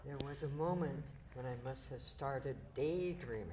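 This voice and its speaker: A woman volunteer from the audience reading a first-person story aloud in a steady way, recorded in a live room. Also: very deep voice, normal speed, background noise.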